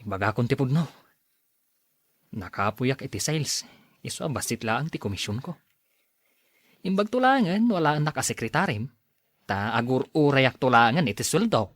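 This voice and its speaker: A man acting as a chatty, teasing buddy from the office in a radio drama. He has an Ilocano Filipino accent and a very lively, mid-pitched voice, recorded dry. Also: moderate pace, pauses often, no room sound. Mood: wry. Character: friendly, teasing male workmate and buddy. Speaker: actor in an Ilocano audio drama. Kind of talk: acted radio drama dialogue.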